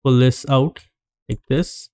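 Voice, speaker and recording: A man narrating a screen-recorded 3D modeling tutorial, giving calm step-by-step instructions with frequent pauses. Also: mid-range voice, smooth, no room sound, studio quality.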